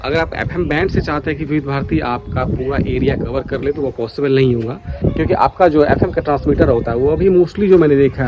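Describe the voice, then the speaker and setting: A man's voice: medium pitch, noisy background. An Indian man talking casually and earnestly to his viewers as a vlogger, mixing in English words. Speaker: vlogger, radio enthusiast. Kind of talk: casual vlog talk to viewers. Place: outdoors on a foggy morning.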